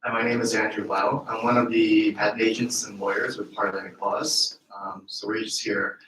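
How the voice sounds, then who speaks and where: A man, a patent lawyer, introducing himself to a group in a friendly, relaxed way. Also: fairly low pitch, moderate pace. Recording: echoey room, distant, faint noise.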